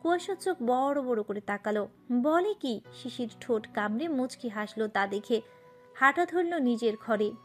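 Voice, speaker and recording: A woman narrating a romantic story from a script. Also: a little high.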